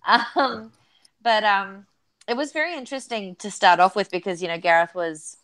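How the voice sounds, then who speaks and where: A woman's voice: medium pitch, normal speed, fairly bright tone, dry recording. A woman from New Zealand, a podcast co-host and guest, chatting cheerfully and giggling as she rambles. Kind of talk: casual chat. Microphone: close USB mic.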